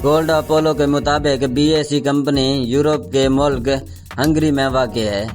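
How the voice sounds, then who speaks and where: A man reading a scripted explainer narration in a formal, measured, documentary news style. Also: medium pitch, fairly even intonation. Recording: background music under the voice. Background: music.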